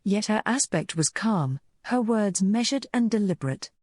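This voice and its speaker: A woman narrating a mythic visual novel in a measured, solemn, scripted style. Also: mid-range voice, animated, a little mellow, no echo, studio quality, natural voice.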